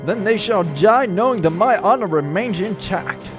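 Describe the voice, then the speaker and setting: A man's voice: sits high, lively intonation, moderate pace, over music. A man playing a vain, pompous space commandant in a comedic audio drama, with a flowery, self-important way of talking. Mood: indignant, proud.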